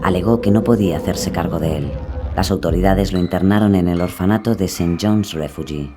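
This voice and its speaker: A man with a low-pitched voice narrates a dramatised true-crime script. Music plays clearly behind him. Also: brisk.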